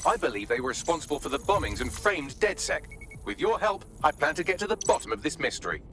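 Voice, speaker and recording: Bright, earnest resistance hacker. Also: male voice, on the high side, sing-song, quick pace, soft background music. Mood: earnest, cautioning. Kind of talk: video game dialogue.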